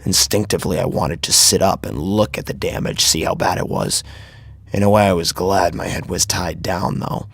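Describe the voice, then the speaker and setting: A man narrating a first-person horror story dramatically, with a grave, intense delivery and a husky, gravelly voice. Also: low-pitched, steady tone, normal speed.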